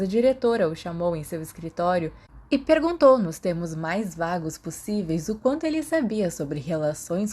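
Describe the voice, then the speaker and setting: A woman's voice: fairly low pitch, dark tone. A woman with a Brazilian accent reading a story aloud as scripted audiobook narration.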